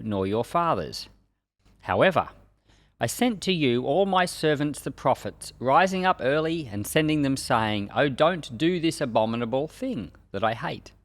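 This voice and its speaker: A man's voice: mid-range voice, no echo. A man reading scripture aloud as a Bible teacher, in a solemn, emphatic declaiming manner.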